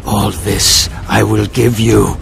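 A man's voice: on the low side, steady tone, gravelly, over music, exaggerated voice. An actor playing the devil as a sly, theatrical tempter in a dramatised audio Bible, drawing out his words. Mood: sly, taunting. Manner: drawn-out, theatrical.

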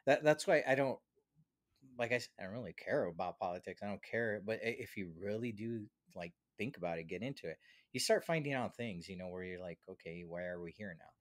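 A man in his thirties with a lowish voice talks as a podcast host. He is casual and slangy, rambling along. The close mic gives a dry sound. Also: expressive, fairly quick, no echo. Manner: rambling, slangy, peppered with "dude". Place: small home studio. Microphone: close studio mic on boom arm.

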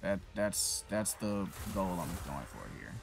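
A man's voice: low voice, very animated, a little slow, creaky. A man giving casual gameplay commentary, talking to the enemies on screen and trash-talking them with plenty of swearing. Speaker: gaming channel host. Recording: voice over loud game music.

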